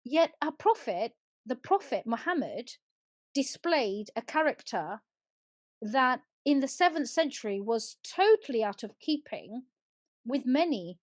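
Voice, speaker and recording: A woman hosting a panel discussion, asking measured questions and reading out viewer comments. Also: on the high side, very animated, no room sound, studio quality. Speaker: host.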